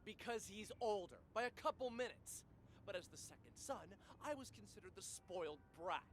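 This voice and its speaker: A defensive, streetwise young man facing police in an audio drama. His voice is on the high side and very animated, full of grievance. A faint noisy rumble sits behind it. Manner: excitable, streetwise, aggrieved. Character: defensive, resentful young man, twin brother under police questioning.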